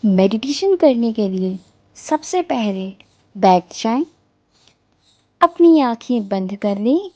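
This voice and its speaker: Very slow female meditation guide. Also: on the high side, warm tone, no echo. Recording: dull, dry close recording over music. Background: music.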